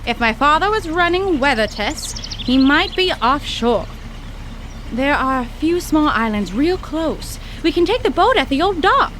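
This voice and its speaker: A woman playing a brisk, bold young woman. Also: high-pitched, big pitch swings, fairly bright tone, slight background noise, full character voice. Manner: folksy sayings, brisk and impatient.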